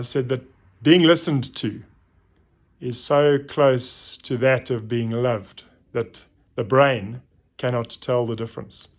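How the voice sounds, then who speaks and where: A middle-aged man giving an informal, reflective talk to listeners, pausing thoughtfully as he goes. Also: medium pitch. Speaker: host of a reflective story series.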